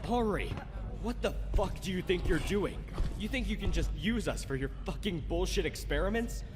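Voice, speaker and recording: A man's voice: high-pitched, lively intonation, normal speed, big-room sound, music in the background. A man acting an outraged, defiant worker confronting the bosses in an audio drama, emotional and confrontational. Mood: furious.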